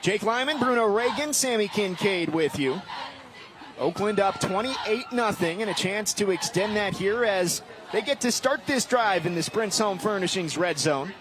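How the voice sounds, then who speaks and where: High-pitched male play-by-play sports announcer. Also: lively intonation, moderate pace. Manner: clipped, vivid play calling that often rises to shouts. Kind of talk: live sports commentary. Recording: noisy live game broadcast.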